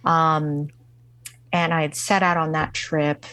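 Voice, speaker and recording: A woman guest musician, telling a personal story in an interview, speaking haltingly with many fillers and restarts. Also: sits low, level tone, a bit bright, no echo. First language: not English.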